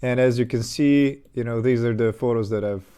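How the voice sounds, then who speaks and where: A man's voice: mid-range voice, normal speed, clean tone. A man streaming his game development live, chatting casually with fillers and restarts while getting over an illness with a slight cough. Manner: relaxed, rambling, many fillers and restarts.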